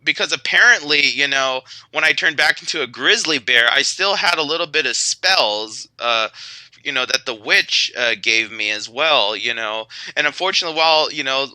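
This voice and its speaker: A man telling an improvised, rambling children's-style story in character, repetitive and excitable. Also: mid-range voice, normal speed, clean tone, dry recording, rough recording. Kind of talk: improvised spoken storytelling in character as a magical bear.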